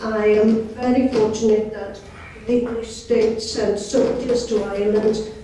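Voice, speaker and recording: Woman on a panel, measured and articulate, slightly high voice, mic far away. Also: little pitch movement, warm tone. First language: Welsh. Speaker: panel guest, poet. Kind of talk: panel discussion. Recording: echoey hall, distant mic, faint noise.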